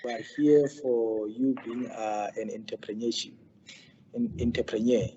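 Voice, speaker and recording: A man's voice: mid-range voice, fairly even intonation, unhurried, warm tone, highs cut off, a little hiss or hum. A man hosting an interview, polite and formal, with frequent hesitations. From South Africa. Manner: polite, formal, many fillers. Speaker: interview host.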